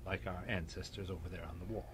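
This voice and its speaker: An actor playing a blunt, talkative older society husband in a radio drama, dry and hesitant. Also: male voice, low voice, steady tone, fast, noisy background, lightly acted. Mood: dry, confiding.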